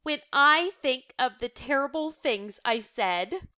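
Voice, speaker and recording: A woman's voice: high voice, lively intonation, slow pace, pushed and bright, pitched above the performer's own voice, exaggerated voice. A woman playing a remorseful, emotional mother in a stage drama, pleading emphatically.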